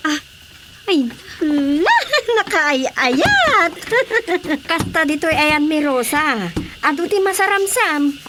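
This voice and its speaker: A woman's voice: high-pitched, big pitch swings, takes it slowly, pauses often, heavy background noise, full character voice. A woman playing a cheerful, chatty rural aunt in an Ilocano radio comedy drama, exclaiming often. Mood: delighted.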